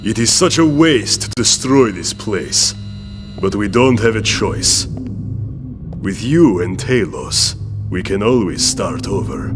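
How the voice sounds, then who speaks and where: An actor playing a smug, grandiose villainous colonel in a video game, theatrical and gloating. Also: male voice, on the low side. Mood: cold, commanding. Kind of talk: video game cutscene acting. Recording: music under the voice.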